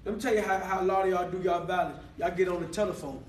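Man preaching, very high voice, far from the mic. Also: steady tone, quick pace, crowd noise. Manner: call-and-response preaching, rising to shouts. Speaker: pastor. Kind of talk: sermon. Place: church hall, indoors. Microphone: far camera. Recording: echoey hall, camera far off.

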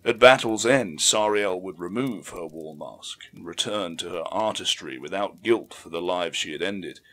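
Male narrator, fairly low register, for dramatic fiction audiobook; deliberate, solemn storytelling. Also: fairly bright tone.

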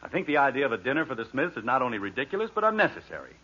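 A man's voice: medium pitch, sing-song, rapid-fire, pushed and bright, breathy edge, full character voice. An actor playing a grumbling, blustery middle-aged family father in a 1940s radio comedy. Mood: indignant. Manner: blustery, emphatic. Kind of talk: 1940s radio sitcom acting. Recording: dull, noisy 1940s radio recording.

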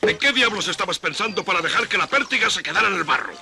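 A pompous ant colonel in a children's cartoon. He is stern and military, scolding and commanding in a high, very animated voice. Music plays behind him. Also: fast talker, vocal fry. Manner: barking orders, scolding, military.

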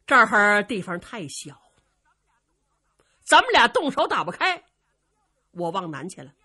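Storytelling role: hot-headed general, played by a woman, forceful, defiant, emphatic, with wide swings in pitch. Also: husky, heavily acted. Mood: taunting challenge. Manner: loud, emphatic, challenging. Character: fierce, hot-tempered, defiant male warrior general.

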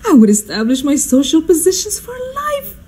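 An actress playing a fussy, imperious, status-hungry society mother in a radio drama, theatrical and exclamatory, with a husky voice. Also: high voice, wildly swooping pitch, normal speed, dark tone. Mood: swooning.

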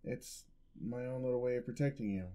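A man playing a gentle, sheepish, affectionate headless horseman boyfriend in a soft-spoken ASMR roleplay, with hesitant delivery. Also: mid-range voice, a little fast, close to an everyday voice. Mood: tender. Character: gentle, clumsy male dullahan boyfriend, centuries old. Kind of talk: ASMR roleplay, intimate acted monologue.

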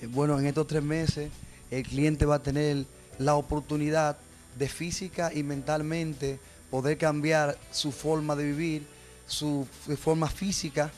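A young man speaks on a TV studio set, holding a handheld mic. His voice sits fairly high for a man, and soft music plays behind him. He explains things earnestly, pausing often. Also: flat delivery. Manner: earnest, explanatory, with frequent hesitations. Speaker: gym trainer. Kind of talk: studio TV interview.

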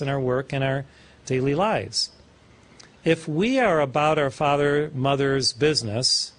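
Older man giving a playful, rambling sermon. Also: medium pitch. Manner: warm, playful, digressive, chuckling at his own wordplay. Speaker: spiritual teacher. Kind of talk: informal sermon. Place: small studio before a backdrop. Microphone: lapel mic.

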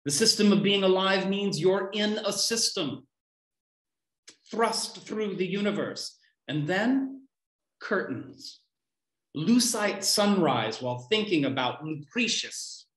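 A man in his fifties reads poetry in a fairly high voice for a man. He delivers short lines deliberately, with pauses between them. The sound is a roomy, echoey video call from a room at home. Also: expressive, a bit bright. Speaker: poet reading own poems. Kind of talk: live poetry reading. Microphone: computer mic on a video call.

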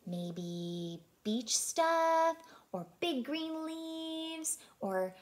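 A woman reading a picture book aloud to children in an expressive way, as a childcare teacher who puts questions to her listeners. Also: very high voice, very slow.

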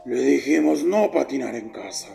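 Man voicing a gravelly, gruff big tomcat. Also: mid-range voice, moderate pace, faint music behind. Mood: deadpan. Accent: Latin American Spanish. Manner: terse, deadpan. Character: big gruff taciturn one-eyed tomcat mechanic. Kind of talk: animated cartoon fan dub.

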